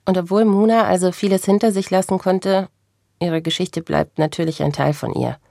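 Deep gravelly woman interviewer. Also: fairly even intonation, moderate pace, dark tone. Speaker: interviewer and narrator. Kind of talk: interview questions and feature narration.

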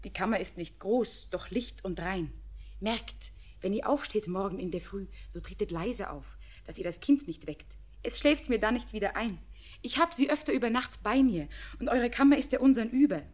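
An actress playing a young village woman who talks softly and earnestly in a classic radio play. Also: mid-range voice, moderate pace, lightly acted. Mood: pleading. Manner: soft, earnest, sometimes whispered.

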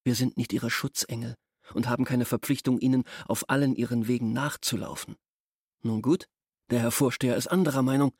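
A stiff village schoolteacher, resentful and officious. His medium-pitched voice is recorded very dry and close, and he phrases everything formally, with open disapproval, in a radio play manner. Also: fairly even intonation, fairly quick, a little mellow, husky, no echo. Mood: officious, disdainful. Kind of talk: German radio drama acting.

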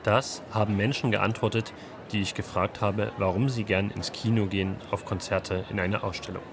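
A man's voice: on the low side, fairly even intonation, normal speed, noisy background. A man reporting for a radio culture magazine in scripted narration, reflective and posing rhetorical questions.

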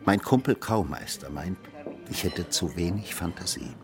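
A man's voice: low voice, lively intonation, moderate pace, over music. An actor playing a world-weary, cynical man who philosophizes in a rambling, theatrical, mock-courteous way in a radio drama. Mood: musing, dry. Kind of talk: German radio drama dialogue.

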